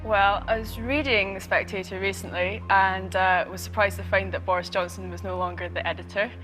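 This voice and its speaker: A woman's voice: mid-range voice, steady tone, brisk, a bit bright, soft background music, rough recording. A woman in her twenties, a quiz show contestant, who chats casually and reasons her answers out aloud with small fillers. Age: 20s.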